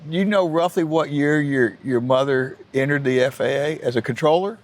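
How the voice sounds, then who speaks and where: Man interviewing casually, a bit halting. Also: on the high side. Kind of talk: casual conversational questioning.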